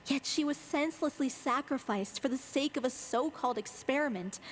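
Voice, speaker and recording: A woman's voice: high voice, moderate pace, a little hiss or hum, lightly acted. A woman playing a spirited, forthright young member of a theatre troupe in a video game. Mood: firm.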